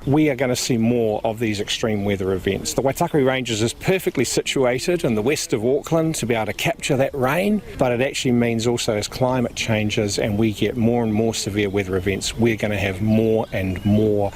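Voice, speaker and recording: A man's voice: mid-range voice, moderate pace, few pauses, darkish tone. Earnest New Zealand man, local official. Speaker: local board member. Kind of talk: interview clip.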